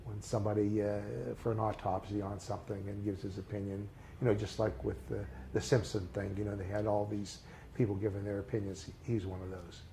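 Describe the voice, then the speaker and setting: An older man reminiscing in a casual interview, speaking haltingly with many fillers and restarts. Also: lowish voice, little pitch movement, creaky, mellow, a little hiss or hum, low-quality recording. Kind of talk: recalled personal memories in a casual interview.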